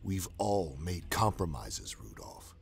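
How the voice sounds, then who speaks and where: An actor playing a cold, weary traitor cultist in an audio drama, husky and gravelly, hushed and deliberate. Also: male voice, deep voice, sing-song, full character voice. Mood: cold regret. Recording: music behind the voice.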